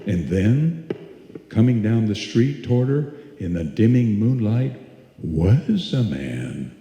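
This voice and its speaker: A man's voice: fairly low pitch, big pitch swings, slow, dark tone, heavily acted. A man playing the grave narrator of a suspense tale in live old-time radio style. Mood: ominous. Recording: echoey hall, music behind.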